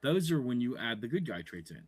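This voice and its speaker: A man with a deep voice co-hosting a casual talk show, arguing his points bluntly and lecturing the others. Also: very animated, fairly quick, a little mellow, slightly rough, no room sound. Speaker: podcast co-host. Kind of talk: casual debate chat.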